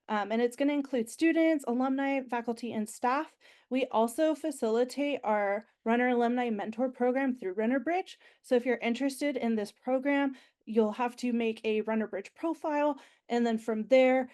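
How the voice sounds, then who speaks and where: A young woman with a slightly higher voice talks through an orientation presentation, chatty and enthusiastic. Her voice sounds nasal as she gets over an illness, and she fills gaps with ums and likes. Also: darkish tone. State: recovering from being sick. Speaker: university alumni relations staff presenter.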